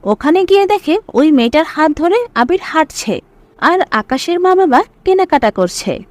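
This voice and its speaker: High-pitched, animated woman narrator. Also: moderate pace, no room sound. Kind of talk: scripted story narration.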